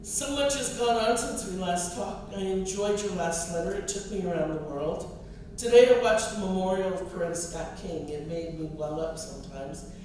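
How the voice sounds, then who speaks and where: A middle-aged American woman from the East Coast, a poet reading her own literary work in a measured, expressive way with deliberate phrasing. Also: on the low side. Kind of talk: literary reading of poetry and personal essay. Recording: distant mic, echoey room, clear noise.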